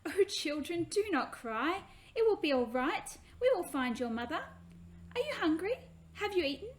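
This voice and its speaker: A woman voicing a kind, reassuring young mother-to-be in a children's storybook, gentle and coaxing. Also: very high-pitched, sing-song, normal speed, a little hiss or hum, pitched above the performer's own voice. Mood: comforting.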